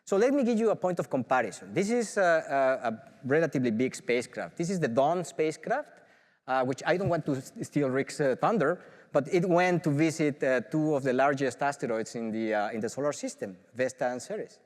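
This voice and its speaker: A middle-aged man speaks with a Mexican Spanish accent, his pitch sitting in a normal middle range. He talks with eager enthusiasm, often pausing on "uh" and restarting his phrases. His voice carries a long reverb, like a large auditorium picked up by a lectern mic. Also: lively intonation. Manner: enthusiastic, filler-heavy with frequent restarts. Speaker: professor. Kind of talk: conference talk.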